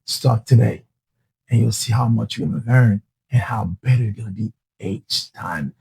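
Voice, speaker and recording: A man's voice: on the low side, normal speed, vocal fry, darkish tone, husky, no room sound. A man hosts a motivational podcast, speaking earnestly and restarting phrases as he coaches listeners. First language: Haitian Creole. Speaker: podcast host.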